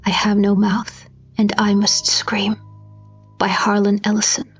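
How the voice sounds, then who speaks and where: A woman's voice: mid-range voice, monotone, husky. Woman narrator, grave and theatrical, over music. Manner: grave, theatrical, deliberate phrasing. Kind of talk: dramatic scripted story narration. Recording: slightly dull recording with music behind.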